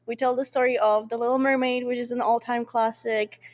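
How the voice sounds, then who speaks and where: Woman, ice show performer, friendly, laughs easily, slightly high voice. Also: little pitch movement, fairly quick, a little mellow. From Slovakia. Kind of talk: interview guest.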